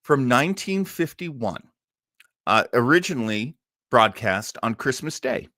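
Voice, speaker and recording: A man hosting a livestream, chatting casually to his audience as an enthusiastic, animated fan. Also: medium pitch, a little slow, dry recording, clean studio sound. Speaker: livestream host. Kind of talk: casual chat to live audience.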